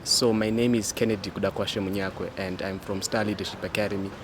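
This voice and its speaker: A man's voice: lowish voice, level tone, brisk. An eighteen-year-old man with a Zimbabwean accent, a new student giving informal interview answers. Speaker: new sixth-form student being interviewed. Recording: clear outdoor background noise.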